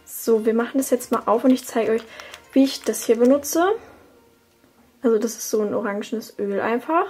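A woman's voice: a little high, moderate pace, darkish tone, breathy edge, faint music behind. A young woman from Germany chatting casually as she talks through her routine at her desk at home, with fillers and self-corrections. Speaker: beauty vlogger. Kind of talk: casual tutorial chat.

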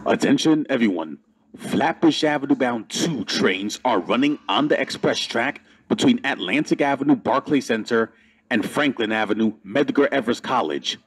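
Slightly husky man making station announcements. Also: mid-range voice, animated, low-quality recording. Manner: formal, measured announcing. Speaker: transit announcer. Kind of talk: scripted station service announcement.

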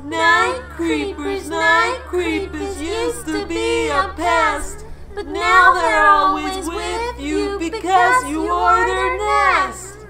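A woman's voice: extremely high pitch, expressive, drawn-out words, music in the background, pitched above the performer's own voice, full character voice. A woman voicing a taunting child who chants an eerie, sing-song nursery rhyme in a horror audiobook.

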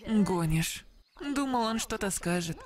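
A woman voicing an impulsive, naive teenage boy hero in an animated series dub. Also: mid-range voice, measured pace, slight background noise, lightly acted. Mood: grumbling.

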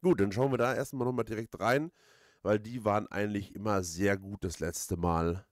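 Low-voiced man rambling casually, dry close sound. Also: moderate pace, no echo. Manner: rambling, hesitant, thinking aloud. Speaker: let's player, host. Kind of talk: casual let's play commentary.

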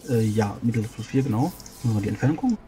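A man's voice: on the low side, animated, racing, a crowd in the background. A man playing a tabletop roleplaying game, thinking aloud hesitantly in casual talk as he works through his move. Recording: faintly noisy voice recording.

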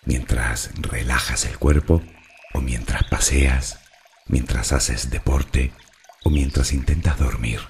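A man from narrating a guided meditation in a slow, calm, soothing and deliberate way. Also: very deep voice, vocal fry, faint music behind.

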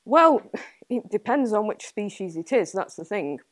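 A woman's voice: mid-range voice, animated, measured pace, no room sound. A young woman with an English accent answering audience questions after a talk, thinking aloud and hedging, laughing now and then. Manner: thoughtful, hedging, laughs often. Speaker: guest speaker, entomologist. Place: small indoor meeting room with audience.

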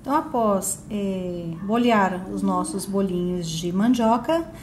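A woman's voice: mid-range voice, slow, a little mellow, echoey room, a little hiss or hum. A middle-aged Brazilian woman presenting a recipe step by step to viewers in a home kitchen. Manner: simple step-by-step explaining, addressing viewers directly. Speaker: cooking channel host. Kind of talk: recipe demonstration.

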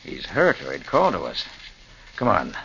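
An American man playing a calm, authoritative master detective in a 1940s radio drama, speaking deliberately. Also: medium pitch, gravelly, heavily acted. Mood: grave. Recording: muffled, noisy 1940s radio recording.

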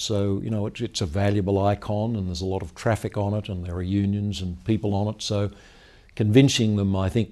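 Businessman, measured and dark-toned. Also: on the low side. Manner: measured, storytelling, frequent "well, look" openers. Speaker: company founder and chief executive. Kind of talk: business interview. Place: high-rise office meeting room with city view. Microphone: lapel mic.

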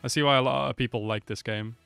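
Young man, mid-pitched voice, casual reaction commentary, close desk mic, faint music behind. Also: steady tone, fast talker, creaky, fairly bright tone, smooth. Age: 20s. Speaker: reaction video host. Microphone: close desk microphone. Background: game trailer music.

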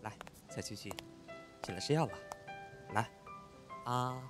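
A man playing a hot-tempered but caring young roommate in an audio drama, expressive and exclamatory. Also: medium pitch, a little slow, over loud music, lightly acted. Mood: gentle, caring. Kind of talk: Chinese audio drama acting.

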